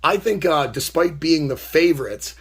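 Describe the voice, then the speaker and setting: A man's voice: on the high side, animated, normal speed, husky. A man from Canada who is losing his voice, hosting a card opening with wry, teasing banter. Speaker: card break host. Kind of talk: casual chat.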